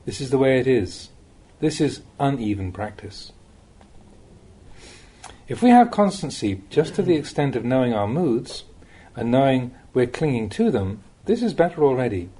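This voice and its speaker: Mellow, husky man reading spiritual teachings expressively. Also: medium pitch, very animated, normal speed. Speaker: monk reading Buddhist teachings. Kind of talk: read-aloud Dhamma talk, audiobook narration.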